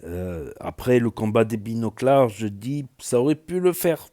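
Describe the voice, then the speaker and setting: A man's voice: mid-range voice, very animated, a little slow, dark tone. Rambling man, southwestern French accent. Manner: rambling, filler-heavy, many "voilà" and "euh". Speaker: YouTube news commentator. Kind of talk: casual reaction commentary.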